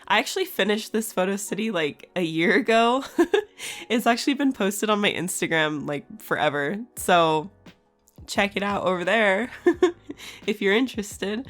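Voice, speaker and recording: A woman in her twenties with a raspy, scratchy just-woke-up voice, chatting casually in a voice-over for her art channel and laughing often. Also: mid-range voice, a bit bright.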